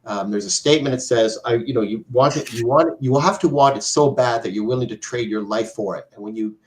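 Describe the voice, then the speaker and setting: A mature man telling a personal story conversationally, rambling along with frequent filler phrases and pauses. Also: mid-range voice, poor audio.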